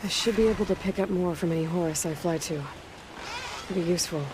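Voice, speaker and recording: A woman's voice: lowish voice, fairly quick, background noise, natural voice. A woman voicing a brave, determined young warrior heroine in a video game, resolute and often murmuring to herself. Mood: focused.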